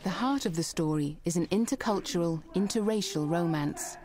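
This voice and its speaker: A woman giving measured, scripted documentary narration. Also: lowish voice, a little mellow. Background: music.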